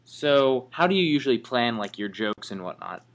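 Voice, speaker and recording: A man's voice: medium pitch, sing-song, moderate pace. Man, mid-range voice, interviewer, casual conversational questioning.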